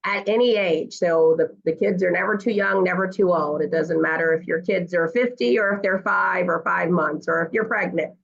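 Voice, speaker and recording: Lady parent coach, kinda low voice, chatty and earnest, dull lo-fi sound. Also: moderate pace, vocal fry, hardly any pauses, breathy edge. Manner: earnest, encouraging, with fillers. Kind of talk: conversational interview.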